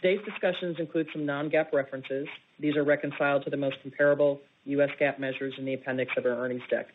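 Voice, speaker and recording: A woman's voice: extremely low pitch, steady tone, fast talker. A woman executive reads formal prepared corporate remarks on a conference call. The playback is dull and lo-fi, with faint noise. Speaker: investor relations executive. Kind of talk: formal scripted corporate reading.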